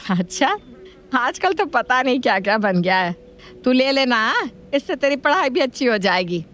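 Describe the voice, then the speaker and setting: A woman playing a doting, gullible grandmother with a homely, indulgent manner in an animated family story. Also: high-pitched, normal speed. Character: kindly, gullible, doting grandmother and mother-in-law.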